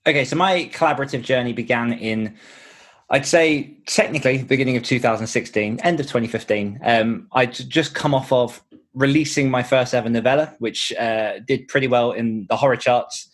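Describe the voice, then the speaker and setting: A man's voice: mid-range voice, moderate pace. A man chatting casually as a guest author in a podcast interview.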